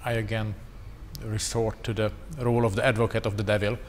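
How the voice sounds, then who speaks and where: A man whose first language is Hungarian speaks English on a panel in a steady, analytical way. He lays out his arguments step by step. His voice rings through a large hall with long reverb and a faint background hum. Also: on the low side. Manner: measured, analytical, non-native English. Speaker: conference panelist. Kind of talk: panel discussion. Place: conference hall.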